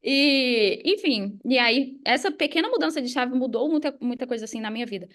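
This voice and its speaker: Female podcast guest, Brazilian accent, high-pitched and rapid, conversational and emphatic explainer. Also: expressive, fairly bright tone. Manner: emphatic, asks and answers own questions. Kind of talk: casual explanatory interview talk.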